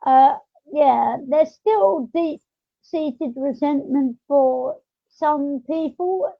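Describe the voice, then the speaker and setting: A woman's voice: high-pitched, drawn-out words, vocal fry. A woman chatting casually as a podcast host, in a hesitant, conversational way. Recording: dull lo-fi audio.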